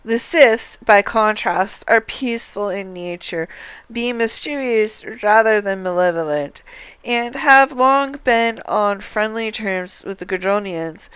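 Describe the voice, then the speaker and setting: A woman reads video game dialogue for a livestream. Her voice is exceptionally clear and mid-pitched, with a slow, deliberate delivery. The sound is dry. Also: a bit bright, no echo, poor audio. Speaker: game streamer.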